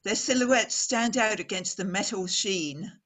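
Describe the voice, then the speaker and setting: An actress playing a radio reporter in a radio play, describing the scene formally and dramatically, as if live on air. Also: female voice, mid-range voice, a little slow, very noisy, close to an everyday voice. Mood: urgent, observant. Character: woman radio reporter, breathless and awestruck at a scene. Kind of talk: radio play acting as live news commentary.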